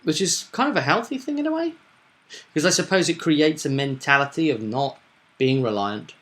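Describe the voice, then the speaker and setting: A man's voice: a little high, expressive, normal speed, a bit bright. A man hosting as game master, chatting casually about a tabletop roleplaying game and often repeating himself with tag questions.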